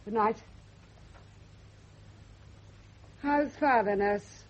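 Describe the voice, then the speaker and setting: Genteel, husky-voiced single lady. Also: on the high side, a little slow. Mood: polite. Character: genteel, poised single woman, politely probing. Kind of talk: 1970s radio drama acting. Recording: dull lo-fi old radio recording.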